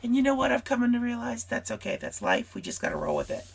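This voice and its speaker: A woman hosting a scrapbooking vlog, hoarse from a cold and losing her voice, chatty and rambling as she talks straight to her viewers. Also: a little high, huge pitch swings, fast talker. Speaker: scrapbooking channel host. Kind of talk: casual craft supply walkthrough.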